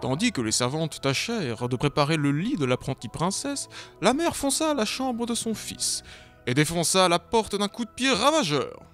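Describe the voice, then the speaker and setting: A man's voice: sits high, sing-song, normal speed, a bit bright, soft background music. A man narrating a cartoon fairy tale in a mock-solemn, playful way. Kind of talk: storybook narration.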